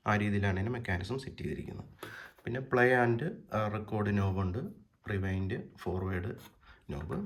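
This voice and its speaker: Low-voiced Kerala man, hesitant informal walkthrough. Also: a little hiss or hum. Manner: unscripted, hesitant with frequent pauses mid-sentence. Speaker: presenter of a vintage audio gear channel. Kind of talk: informal product walkthrough, explaining part by part.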